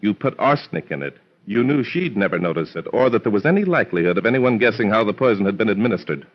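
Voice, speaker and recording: An actor playing a shrewd, self-assured amateur detective in theatrical old-time radio mystery style. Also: male voice, fairly low pitch, animated, quick pace. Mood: accusing. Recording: noisy muffled old radio recording.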